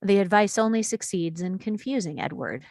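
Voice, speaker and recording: A woman's voice: mid-range voice, expressive, moderate pace, a little mellow, no room sound. Woman reading screenplay directions, dry sound. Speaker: narrator reading stage directions. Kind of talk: scripted narration at a screenplay table read.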